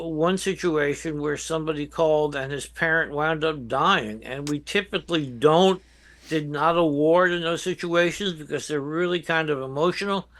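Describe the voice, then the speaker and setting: Older American man, bright forceful voice. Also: fairly high pitch. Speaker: guest expert, veteran emergency dispatch professional. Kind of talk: interview answers, explaining and advising.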